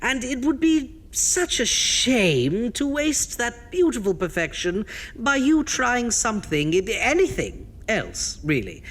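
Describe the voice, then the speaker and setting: A man playing a vain, prickly undead horror host, hammy and halting in the style of a campy radio horror show. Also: very high-pitched, wildly swooping pitch, fairly bright tone, exaggerated voice. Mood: wheedling, nervous. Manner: hammy, halting, drawn-out showman delivery.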